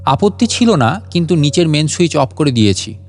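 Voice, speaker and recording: A man voicing a grumpy, impatient ghost intruder with a harsh, rasping voice in a story. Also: a little high, big pitch swings, a little fast, soft background music. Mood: matter-of-fact. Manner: curt, impatient. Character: grumpy, irritable male ghost intruder in the dark.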